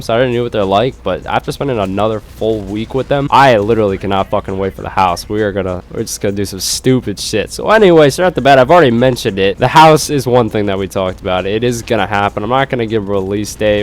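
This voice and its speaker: Young male gaming vlogger, blocked nose, lowish voice, loose rambling talk with casual swearing. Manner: rambling, swears freely, frequent restarts. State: congested, nasal from a cold. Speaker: gaming YouTuber. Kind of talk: casual vlog chat.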